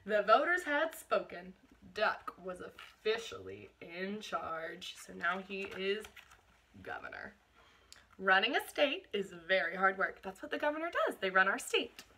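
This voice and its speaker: Bright, very animated woman reading to children. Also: medium pitch, measured pace, a little hiss or hum. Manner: expressive, quizzing young listeners. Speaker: teacher reading a picture book aloud. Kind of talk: animated children's read-aloud with explanatory asides.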